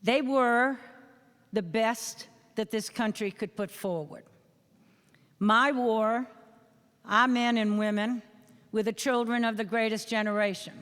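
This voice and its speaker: An older woman with a New England accent speaks to a school assembly as a veteran, earnest and emphatic, with measured pauses. Her voice is smooth and clear, and it rings with a long reverb through a large hall. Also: on the high side, steady tone, fairly bright tone. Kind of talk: speech. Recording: echoey hall.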